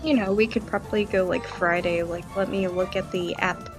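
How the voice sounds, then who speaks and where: A woman's voice: on the low side, fairly even intonation, moderate pace, low-quality recording. Casual woman chatting over music. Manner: filler-heavy, informal storytelling, swears. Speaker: livestream host. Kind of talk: casual chat.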